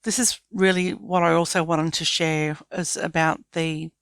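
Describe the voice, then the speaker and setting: A woman's voice: deep voice, little pitch movement, a little slow, clear, resonant tone, dry recording, studio quality. An Australian woman in her fifties speaking candidly and reflectively as a guest in a conversational interview. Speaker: interview guest, small business owner.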